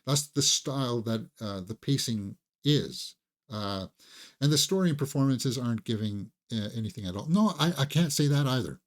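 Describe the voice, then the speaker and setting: A man's voice: mid-range voice, expressive, moderate pace. A man hosting a casual, conversational film review, enthusiastic and opinionated, sometimes repeating words as he thinks aloud.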